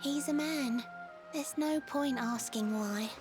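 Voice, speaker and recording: A woman's voice: high voice, slow. A woman voicing a lovelorn, wistful mermaid in a video game, speaking theatrical rhymed verse in a lilting, sighing way, with music behind her. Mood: wistful, tender.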